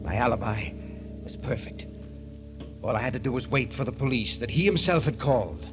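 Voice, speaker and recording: An actor playing a scheming murderer in an old-time radio mystery, speaking in a cold, deliberate, taunting manner. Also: male voice, mid-range voice, animated, over loud music, natural voice. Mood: tense, savoring. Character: cold, scheming male murderer narrating his own crime.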